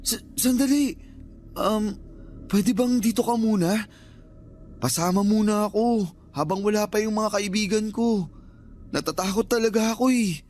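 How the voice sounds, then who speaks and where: Nervous filipino guest in a radio drama, kinda high voice, uneasy, soft music behind. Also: male voice, unhurried, pauses often. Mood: scared. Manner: uneasy, questioning. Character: nervous male guest, easily spooked by ghosts.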